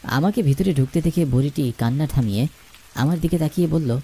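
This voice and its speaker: A man's voice: a little high, brisk, warm tone, husky. A man narrating a scripted first-person ghost story in a dramatic, suspenseful storytelling manner.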